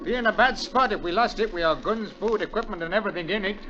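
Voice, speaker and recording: An actor playing a plain-spoken, pessimistic North Country English manservant with a deferential way of talking, in 1940s radio drama style. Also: male voice, high-pitched, fast talker, sharp tone, slight background noise. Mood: uneasy. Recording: muffled 1940s radio broadcast.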